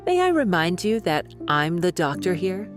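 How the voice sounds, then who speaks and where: An actress playing a smug, dismissive doctor, clinical and condescending as a guest in a radio drama. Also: female voice, fairly low pitch, sing-song, moderate pace, over music. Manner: clinical, self-assured, condescending. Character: smug, dismissive female doctor and skeptic author. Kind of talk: radio interview acting.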